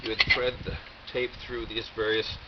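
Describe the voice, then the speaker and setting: An American man giving a casual, rambling show-and-tell with wry, deadpan humour and a halting delivery. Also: fairly low pitch. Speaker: video host. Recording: dull lo-fi audio, loud background noise.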